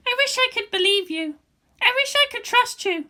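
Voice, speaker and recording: A woman's voice: extremely high pitch, lively intonation, normal speed, bright, forceful tone, pitched above the performer's own voice, heavily acted. A woman voicing a proud, brash but vulnerable teenage girl in a fan fiction reading. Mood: vulnerable, pleading.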